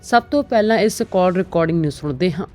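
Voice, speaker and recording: A woman's voice: on the low side, animated, fast, warm tone, soft background music. A woman presenting scripted news as a presenter.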